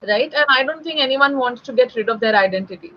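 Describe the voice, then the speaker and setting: A woman's voice: high voice, expressive, fast talker, muffled recording. A young woman from Delhi with a Delhi Indian English accent, tutoring spoken English in a conversational online lesson and often checking in with "right?".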